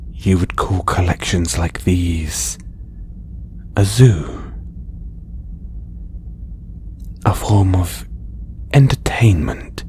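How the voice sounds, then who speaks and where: A man playing a condescending, possessive alien collector in an intimate, breathy audio roleplay. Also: low voice, darkish tone, a little hiss or hum. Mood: condescending curiosity. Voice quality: breathy, whispery. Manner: condescending, slow, coaxing. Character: condescending, possessive male alien collector keeping a human specimen. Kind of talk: audio roleplay acting, intimate ASMR delivery.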